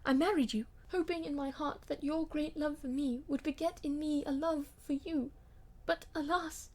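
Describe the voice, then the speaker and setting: Audiobook role: woman reader as young aristocratic wife, high voice, proud yet imploring, vehement, old-fashioned diction. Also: fairly even intonation, moderate pace, a little mellow, natural voice. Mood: wistful pleading. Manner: vehement, pleading, theatrical old-fashioned speech. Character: proud, impassioned young noblewoman pleading with her husband.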